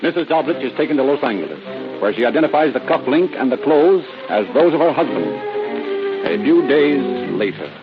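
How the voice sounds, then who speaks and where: A man's voice: medium pitch, lively intonation, fairly quick. A man delivering dramatic scripted narration for an old-time radio drama. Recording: dull, old radio recording. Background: music.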